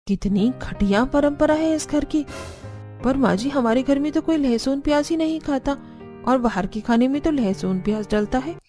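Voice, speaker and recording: A woman's voice: sits high, animated, normal speed, mellow, faint music behind. A woman voicing a plaintive, dutiful young Indian daughter-in-law in a cartoon family story. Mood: aggrieved. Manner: complaining, pleading. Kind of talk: Hindi cartoon story acting.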